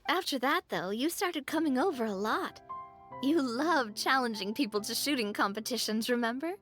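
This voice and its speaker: A cheerful young noblewoman in a video game, high-voiced and very animated. She is chatty and teasing, with soft music behind her. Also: normal speed. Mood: playful, reminiscing. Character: cheerful, playful young noblewoman, childhood friend. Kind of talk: scripted game dialogue.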